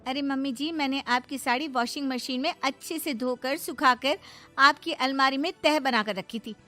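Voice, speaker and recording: Woman acting a high-voiced defiant young daughter-in-law. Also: moderate pace, pushed and bright, faint music behind. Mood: defiant, annoyed. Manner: argumentative, insistent. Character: defiant young daughter-in-law, defensive, sharp-tongued wife. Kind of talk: Hindi cartoon moral-story acting.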